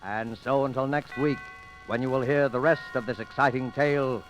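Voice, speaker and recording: An actor playing an ominous mystery host, announcing formally and dramatically in 1940s radio style. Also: male voice, mid-range voice, fairly even intonation, moderate pace, soft background music, close to an everyday voice, rough recording. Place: radio studio.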